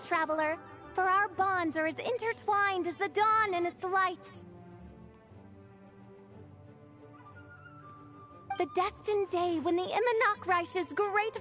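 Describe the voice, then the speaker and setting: A woman's voice: very high-pitched, a bit bright. A woman playing a grandiose teenage girl who styles herself a princess, declaiming in archaic, theatrical English for an anime game. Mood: lofty, reassuring. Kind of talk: English-dubbed anime game acting, archaic florid speech.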